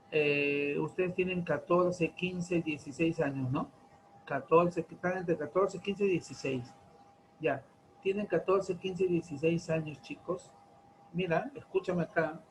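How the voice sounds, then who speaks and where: A man, lecturing teenagers in class, slow and deliberate, stretching key terms and asking many rhetorical questions. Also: on the high side, a little hiss or hum, rough recording. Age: 40s. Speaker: teacher.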